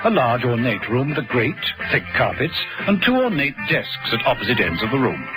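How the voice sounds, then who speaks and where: A man's voice: medium pitch, very animated, normal speed. A man delivering scripted narration as the narrator of a vintage radio drama. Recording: vintage radio recording over music.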